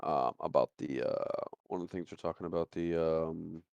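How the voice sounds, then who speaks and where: A man's voice: extremely low pitch, normal speed, creaky, darkish tone, smooth, no room sound, highs cut off. A man hosting a casual podcast, talking in a rambling way and circling back over his phrases. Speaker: podcast host.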